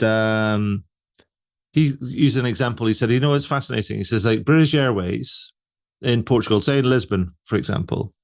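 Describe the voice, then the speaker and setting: A man hosting an interview, talking in a loose, conversational way with many fillers such as "you know" and "like". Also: medium pitch. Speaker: interview host.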